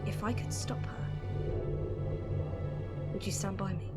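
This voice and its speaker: A woman's voice: mid-range voice, fairly even intonation, unhurried, close to an everyday voice. A woman playing a defiant, weary alien time traveller in an audio drama, intense, wry and declamatory. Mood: grim resolve. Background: loud music and sound effects.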